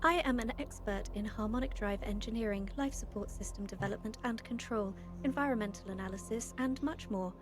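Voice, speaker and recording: A woman's voice: fairly high pitch, lightly acted. Unflappable female AI overseer, acted by a woman, stilted and precise, oddly friendly, audio drama, music underneath. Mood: serene, formal. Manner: measured, literal, stilted formal phrasing. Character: formal, unruffled female artificial intelligence overseer, oddly friendly. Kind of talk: improvised audio drama acting.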